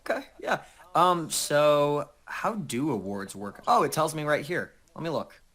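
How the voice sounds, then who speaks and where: A man's voice: fairly high pitch, animated, measured pace, a bit bright. A man in a casual group chat, talking informally in a rambling way with mild swearing.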